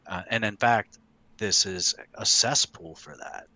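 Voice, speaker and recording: A man with a very low voice that still has a forceful, bright edge. He talks like a podcast host in casual discussion, explaining with emphasis and repetition. The sound is dry and free of room echo. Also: measured pace.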